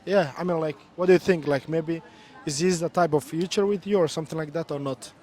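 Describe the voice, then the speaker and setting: A young man from Somalia with a Somali accent, hosting a casual street interview vlog outdoors in a city centre, chatty and quick, switching between two languages. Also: on the high side, a little mellow.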